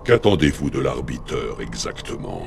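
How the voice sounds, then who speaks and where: An honourable alien warrior in disgrace, in a game cutscene. The voice is a gravelly bottom-end rumble, grave and subdued, with music clearly behind it. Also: male voice, sing-song, normal speed, full character voice. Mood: grave, resigned. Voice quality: deep, gravelly. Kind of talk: dramatic video game cutscene acting.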